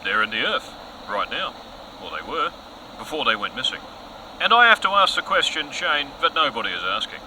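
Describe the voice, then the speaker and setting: Pompous, forceful news anchor. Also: male voice, mid-range voice, sing-song, a little hiss or hum, rough recording. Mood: earnest, pressing. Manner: pompous, melodramatic, emphatic pauses. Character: overzealous, self-important male news anchor spiralling into conspiracy. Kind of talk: mock current-affairs broadcast, scripted audio comedy.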